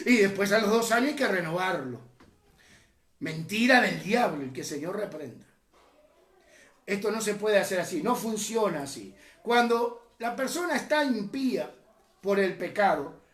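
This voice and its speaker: A man preaches in an echoey room, his high voice swinging widely between loud peaks and soft asides. He teaches with passion and keeps calling the congregation brothers. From Uruguay. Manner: impassioned, rises and drops sharply, often says "hermanos". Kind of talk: teaching sermon.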